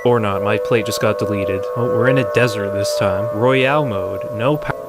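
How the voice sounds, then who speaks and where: A man's voice: lowish voice, normal speed, over loud music. A man giving casual, running commentary on a game as he plays.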